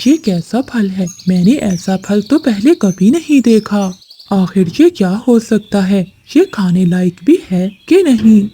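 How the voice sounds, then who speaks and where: A woman acts a brotherly pigeon in a children's cartoon. He speaks slowly in a soft, dark tone, curious and polite. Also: medium pitch, expressive, husky, pitched below the performer's own voice. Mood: puzzled. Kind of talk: children's cartoon story acting.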